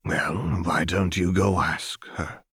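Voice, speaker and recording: A man voicing a gentle, soothing middle-aged father who speaks in a hushed, tender, deliberate way in an audiobook. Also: low voice, fairly even intonation, breathy edge, dry recording, studio quality, full character voice. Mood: quietly encouraging.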